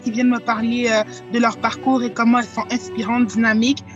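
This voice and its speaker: A woman's voice: on the high side, level tone, fast talker, muffled recording. A young woman giving an earnest, heartfelt testimonial about a youth program. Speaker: program participant. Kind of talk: interview testimonial. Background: soft background music.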